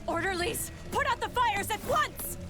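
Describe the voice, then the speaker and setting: Video game exorcist commander: young, haughty, vengeful, very high and dramatic, over loud music. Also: female voice, animated, measured pace. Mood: furious, commanding. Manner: commanding, indignant. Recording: loud music and clashing sounds behind. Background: loud music, smashing.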